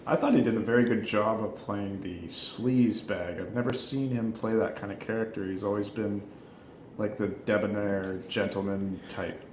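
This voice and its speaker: A man's voice: on the low side, fairly even intonation, normal speed, a little mellow. A man chatting casually as a panelist on a film review, joking dryly. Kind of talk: casual chat. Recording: roomy echo, faint background noise.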